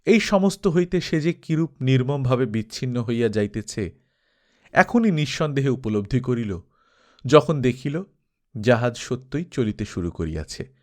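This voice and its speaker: A man narrating a classic novel as an audiobook, with solemn, expressive and measured phrasing. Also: mid-range voice. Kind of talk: scripted literary narration, dramatic storytelling.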